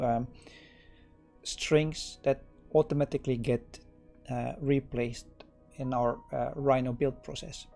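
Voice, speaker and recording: A middle-aged man with a Dutch accent explains code on a live stream at a slow, unhurried pace. His voice is gravelly, and he stops often with fillers and restarts. Faint music plays behind him at his desk. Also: mid-range voice. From Netherlands. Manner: relaxed, hesitant with many fillers and restarts. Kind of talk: live coding stream, thinking aloud.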